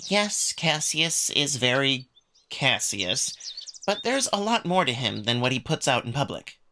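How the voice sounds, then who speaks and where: A man's voice: mid-range voice, big pitch swings, measured pace, noisy background. A man voicing a reserved male cat who is polite, coy and a little sly. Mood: guarded.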